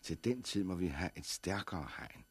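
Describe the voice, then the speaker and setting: An actor playing a mild, persistent man with practical ideas in a 1960s radio drama, husky and measured. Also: low voice, expressive. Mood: earnest, defensive.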